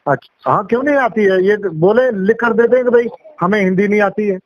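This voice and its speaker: A man's voice: high voice, a little fast, no echo. A man, an official explaining things deferentially in a phone conversation. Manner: deferential, explaining, often says sir. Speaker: education department official. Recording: dull lo-fi phone call audio.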